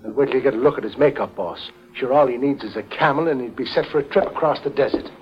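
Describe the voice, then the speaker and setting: Blunt, colloquial sidekick played by a man, medium pitch, 1940s detective radio, muffled sound. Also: quick pace, noisy background, close to an everyday voice. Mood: wry, eager. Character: plain-spoken, excitable Irish-American detective's sidekick. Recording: dull vintage radio recording.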